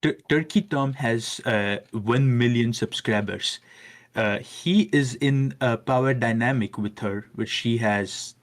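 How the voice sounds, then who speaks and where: A man's voice: mid-range voice, slow. A man calling in to a livestream, arguing in a hesitant way with many repeats and fillers. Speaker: caller. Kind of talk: call-in debate.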